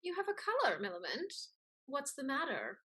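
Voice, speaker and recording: A woman's voice: high voice, huge pitch swings, moderate pace, pushed and bright, exaggerated voice. A woman playing a scheming, catty society lady in a Restoration comedy, with an arch, pointed, mannered delivery. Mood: arch, worldly. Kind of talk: theatrical Restoration comedy acting.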